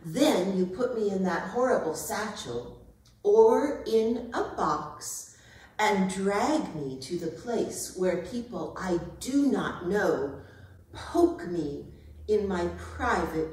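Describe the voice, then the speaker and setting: A woman's voice: medium pitch, lively intonation, slow, a little mellow. Haughty cat, played by a woman, distant and echoey. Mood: suspicious. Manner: pompous, formal, precise diction. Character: haughty, stubborn, indignant female pet cat named Callie. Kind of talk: theatrical staged dramatic reading. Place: arts centre performance space. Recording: echoey hall, distant microphone.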